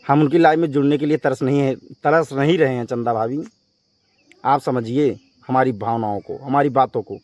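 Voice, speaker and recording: A man's voice: mid-range voice, fairly even intonation, moderate pace, darkish tone, no echo. A man speaking as a vlogger in a confrontational rant to his viewers, scolding and emphatic, often repeating himself. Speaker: YouTube vlogger addressing viewers.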